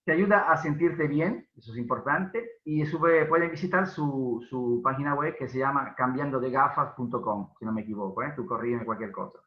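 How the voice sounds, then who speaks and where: A man's voice: medium pitch, normal speed, hardly any pauses, highs cut off. Effusive male host in a roomy room. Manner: effusive, chatty, with filler and restarts. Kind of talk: casual interview hosting.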